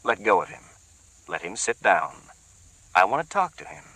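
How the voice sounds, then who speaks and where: A man playing a tense boss who speaks low, quiet and tight. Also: very animated, normal speed, vocal fry, slightly rough, no echo, recorded from a distance, full character voice, poor audio. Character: tense, controlled male boss.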